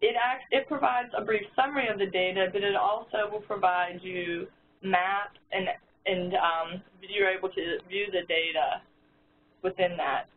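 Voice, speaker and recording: A woman's voice: mid-range voice, steady tone, measured pace. A woman presents over a conference call and talks listeners through a resource one step at a time. Her voice comes through a thin, tinny phone line with a faint hiss behind it. She often restarts her sentences as she goes. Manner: explains step by step, with frequent restarts and fillers.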